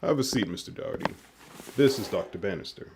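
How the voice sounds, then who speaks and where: An actor playing a cold, controlling leader of a covert team, formal and measured, in an audio drama. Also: male voice, low-pitched, lively intonation, vocal fry, noisy background. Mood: cold, controlled.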